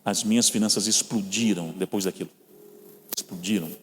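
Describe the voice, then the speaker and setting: A man's voice: low voice, fairly even intonation, normal speed, slight background noise. A man with a Brazilian Portuguese accent preaching a sermon, telling personal stories and calling the listeners brothers. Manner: storytelling, addresses listeners as brothers. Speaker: guest preacher. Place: church hall. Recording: long reverb of a church hall.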